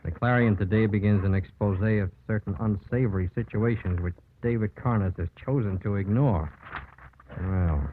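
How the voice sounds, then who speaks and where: An actor playing a genial, folksy, politely hesitant small-town attorney in a 1950s radio drama. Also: male voice, on the low side, moderate pace, natural voice. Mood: wry, uneasy. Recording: scratchy, tinny 1950s radio recording.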